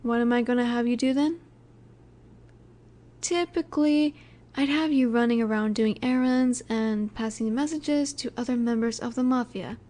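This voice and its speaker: Soft, mellow, high-voiced female mafia boss acted by a woman. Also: close to an everyday voice. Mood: wry, businesslike. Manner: soft, unhurried, soothing yet in command. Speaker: audio roleplay voice actor. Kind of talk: intimate scripted audio roleplay, addressed to listener.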